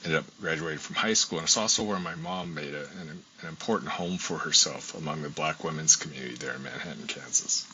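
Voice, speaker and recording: A man's voice: rumbling low, fast, hardly any pauses, pushed and bright. A man in his sixties, a guest scholar from the United States, telling his story in an interview in a reflective way, with many ums. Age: 60s. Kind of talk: interview conversation. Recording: dull lo-fi audio, faint hiss.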